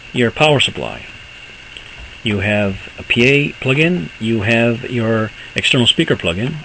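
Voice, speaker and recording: A man giving a casual, unscripted walkthrough of equipment as a hobbyist presenter, halting and thinking aloud as he goes. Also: mid-range voice, noisy background.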